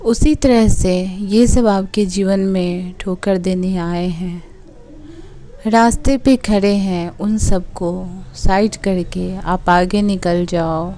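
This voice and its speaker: A woman leading a guided meditation, giving soothing, unhurried instructions in short phrases with pauses between them. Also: mid-range voice, fairly even intonation, mellow, a little hiss or hum.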